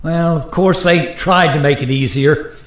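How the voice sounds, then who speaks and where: A man's voice: on the high side, a little slow, close to an everyday voice. A man playing an easygoing modern airline pilot in a radio play, talking in a casual, explanatory way. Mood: resigned, explaining. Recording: roomy, echoing sound.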